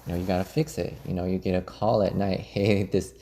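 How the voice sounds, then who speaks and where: A man answering questions in a casual interview, explaining things in a measured way. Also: low-pitched, flat delivery, dark tone. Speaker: interview guest working in finance IT.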